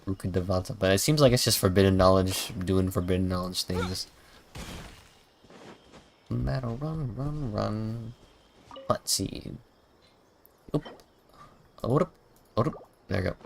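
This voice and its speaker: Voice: medium pitch, a little hiss or hum. A streamer giving casual, playful gameplay commentary, muttering along and making little sing-song sound effects. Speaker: game streamer.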